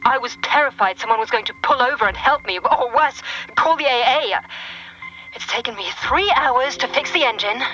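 A woman's voice: on the high side, lively intonation, moderate pace, sharp tone, lo-fi sound, soft background music. An actress playing a frazzled, overworked woman leaving an exasperated voicemail in an audio drama. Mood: exasperated, weary. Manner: exasperated, harried. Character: frazzled, overworked woman working for a shady agency.

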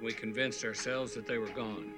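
A man's voice: fairly low pitch, normal speed, natural voice. An actor playing a grave man in a dramatic trailer, warning of a returning menace with foreboding. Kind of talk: dramatic film trailer dialogue. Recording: trailer playback under loud music. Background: loud music.

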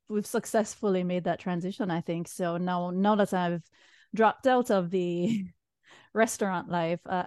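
A woman from Jamaica with a Jamaican accent, chatting as a guest chef on a podcast, relaxed and quick to laugh. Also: lowish voice, clean tone, no echo, clean studio sound. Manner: relaxed, laughs easily, restarts phrases. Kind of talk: casual podcast chat.

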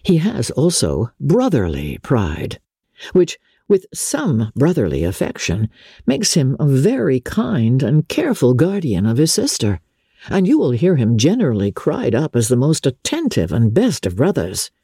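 A woman plays an aggrieved young gentleman from a classic novel. His voice is mellow and a little husky, close and dry. He speaks in a measured, confiding way, with mournful polish. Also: a bass voice, huge pitch swings, no echo, exaggerated voice. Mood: measured, reflective. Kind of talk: dramatic audiobook reading.

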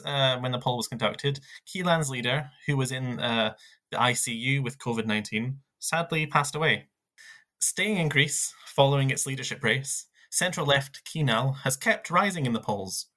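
Bright-voiced male news co-host. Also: mid-range voice. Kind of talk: scripted news roundup.

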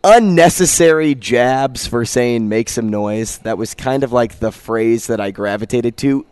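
A man with a slightly hoarse voice chats casually on a sports talk show. He tells stories in a relaxed, chatty way and laughs easily. The sound is dry and close, with little room around him. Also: mid-range voice, measured pace, no room sound. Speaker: radio co-host. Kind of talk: casual chat.

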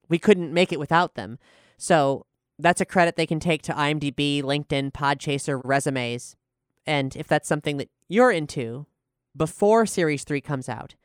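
Smooth, clear host in a dry studio. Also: mid-range voice, no echo. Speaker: podcast host and showrunner. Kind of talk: announcements and discussion on a podcast.

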